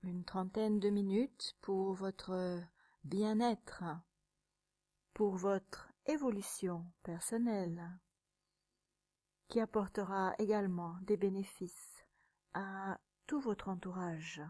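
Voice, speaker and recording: A woman teaching yoga nidra, guiding a meditation in a calm, measured, soothing way, with a soft, sometimes breathy voice and pauses between short phrases. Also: mid-range voice. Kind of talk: guided meditation.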